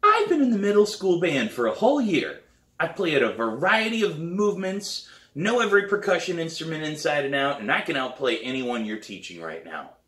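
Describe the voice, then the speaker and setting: Man acting young girl, storybook, eager, boastful, insistent, raised animated pitch, roomy. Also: brisk, recorded from a distance. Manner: pleading, insistent. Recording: roomy, echoey living room.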